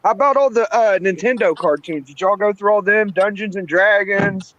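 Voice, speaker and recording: A man's voice: high-pitched, moderate pace, fairly bright tone, lo-fi sound, slight background noise. A man chatting casually as he drops in on an online panel. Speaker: drop-in panelist. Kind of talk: casual chat.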